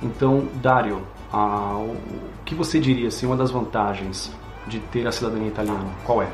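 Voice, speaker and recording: A man's voice: on the low side, steady tone, moderate pace, soft background music. Brazilian man hosting, echoey room. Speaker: host. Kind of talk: casual interview chat.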